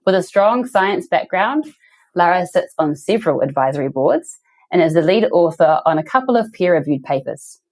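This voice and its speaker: A woman's voice: on the low side, lively intonation, moderate pace, darkish tone. A woman hosting a podcast, welcoming her guest and asking questions with an effusive, enthusiastic manner. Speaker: podcast host.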